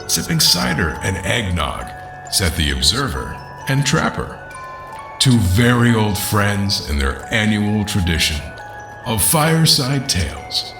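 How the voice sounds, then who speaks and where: An actor playing a welcoming, theatrical fireside host, reciting rhymed storybook narration over music. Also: male voice, on the low side, sing-song, slow pace, gravelly, heavily acted. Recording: roomy reverberant sound under loud music.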